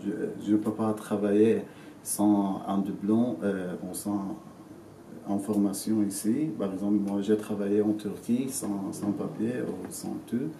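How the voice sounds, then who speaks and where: A man in his twenties from Syria, speaking haltingly in French as an interviewee, full of fillers and restarts. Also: on the low side, fairly even intonation, vocal fry, warm tone. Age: 20s. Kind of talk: hesitant testimony in a learned language. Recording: roomy and noisy, from a distance.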